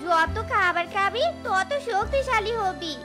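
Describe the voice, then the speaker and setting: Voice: extremely high pitch, measured pace. A performer voicing a tender, plaintive cartoon fox wife who longs to be a mother. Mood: motherly. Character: tender, childless fox wife turned doting adoptive mother. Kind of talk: cartoon character acting. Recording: loud music behind the voice.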